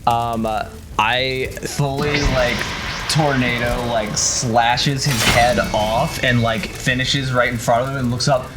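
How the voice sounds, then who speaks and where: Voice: medium pitch, fairly even intonation, slow pace. A player in a tabletop role-playing game, chatting casually and describing actions, with music behind. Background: background music score.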